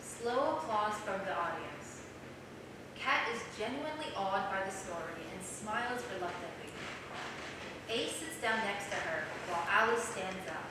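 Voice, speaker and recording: A woman's voice: mid-range voice, expressive, unhurried. A woman reading scripted stage directions as narrator of a staged play reading. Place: small theater, recorded from the audience. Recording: distant, very echoey, loud noise, theater recording.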